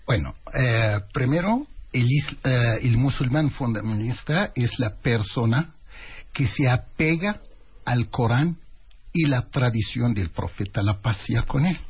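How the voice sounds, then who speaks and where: A man who learned as a later language, a guest on a radio show, explaining slowly with many pauses. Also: mid-range voice, animated, breathy edge. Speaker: interview guest. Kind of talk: radio interview. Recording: dull lo-fi radio sound.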